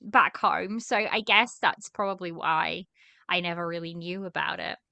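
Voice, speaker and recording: A woman's voice: lowish voice, fairly even intonation, normal speed, creaky, sharp tone, no echo. A woman from Portugal hosting a podcast, chatting casually and animatedly with many fillers. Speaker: podcast host.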